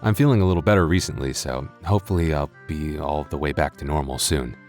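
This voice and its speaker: A man's voice: rumbling low, fast, darkish tone, soft background music. A man playing a sleepy alien computer who talks casually and apologetically to listeners, with hesitant delivery. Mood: sheepish, apologetic. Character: sleepy, sheepish alien computer persona of the channel. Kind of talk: casual in-character address to listeners.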